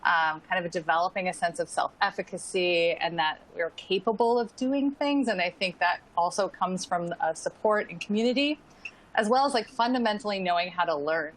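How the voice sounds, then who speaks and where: A woman's voice: fairly low pitch, moderate pace, low-quality recording. A woman speaking as a panelist in an online discussion, casual and sprinkled with "like" and "um". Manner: casual, frequent "like" and "um".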